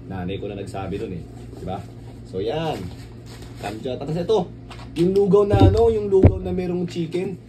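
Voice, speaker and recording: A man's voice: sits high, moderate pace, music in the background. A man with a Filipino accent chatting casually through an unboxing vlog, rambling and often checking for agreement. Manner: rambling, repeats tag questions like asking for agreement. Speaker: vlogger. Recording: clearly noisy, distant microphone.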